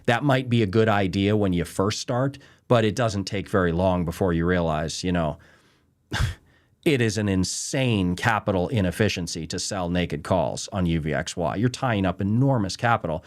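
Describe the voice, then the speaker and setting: Low-voiced man casually explaining trading. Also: moderate pace. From Canada. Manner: conversational, emphatic, lots of "right?" and "you know". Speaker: trading show host answering viewer questions.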